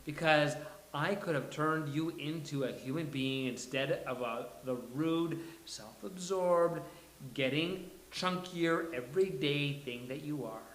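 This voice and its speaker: A man's voice: fairly high pitch, a little slow, a bit bright. A man playing a flamboyant, indignant, theatrical man in a sitcom table read, with sassy, exclamatory delivery. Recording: roomy live table read.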